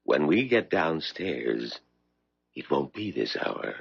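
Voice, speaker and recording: A very deep, slow-spoken man of mystery in a 1970s radio drama. He guides with calm, cryptic authority, his speech swinging in pitch, on a dull old broadcast recording. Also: vocal fry, husky, heavily acted. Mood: measured, cryptic. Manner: measured, commanding, cryptic. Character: mysterious older man, calm guide sending a woman back in time. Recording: muffled vintage radio broadcast, music behind.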